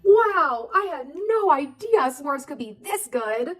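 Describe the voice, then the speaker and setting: A woman voicing an excited, helpful young girl in a storybook, eager and full of questions. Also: sits high, takes it slowly, faint music behind, pitched above the performer's own voice, full character voice. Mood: delighted.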